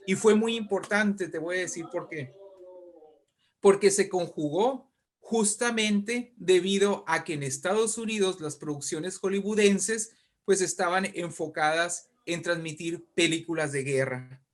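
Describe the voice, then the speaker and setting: Higher-pitched man explaining history conversationally. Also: measured pace. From Mexico. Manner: animated storytelling with frequent check-in questions. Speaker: interview guest, writer and poet.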